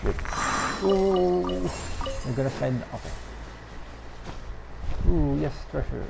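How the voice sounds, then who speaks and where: A woman's voice: deep voice, animated, takes it slowly, creaky, noisy background. A woman giving casual gameplay commentary in hesitant fragments, repeating herself. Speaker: gamer, video creator.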